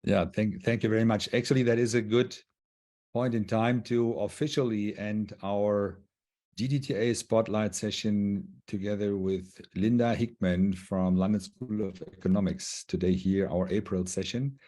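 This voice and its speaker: A man moderating an online session over a video call, friendly and a little halting. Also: on the low side, fairly even intonation, dark tone.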